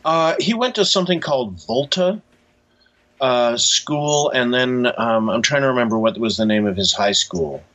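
An American man answering interview questions in a thoughtful, halting, conversational way over a lo-fi remote line. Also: medium pitch, animated, fairly bright tone. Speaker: cartoonist and author, interview guest.

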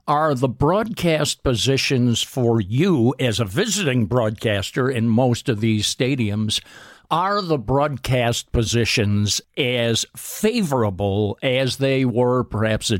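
Male radio host: slow, deliberate announcer delivery, dry studio sound, faint music bed. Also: mid-range voice, expressive, dry recording. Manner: measured, announcer-like. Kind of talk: radio interview and segment introductions.

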